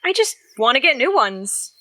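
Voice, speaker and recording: A woman's voice: high voice, sing-song, normal speed, pushed and bright, exaggerated voice. A woman playing a dry, teasing wife trading banter in an audio drama.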